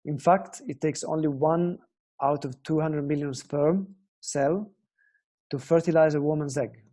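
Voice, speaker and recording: Young italian guy teaching guitar online, earnest, keeps checking in with viewers, warm mellow tone. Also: fairly high pitch, unhurried. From Italy. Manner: earnest, explanatory, asks viewers questions. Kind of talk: online guitar lesson talk.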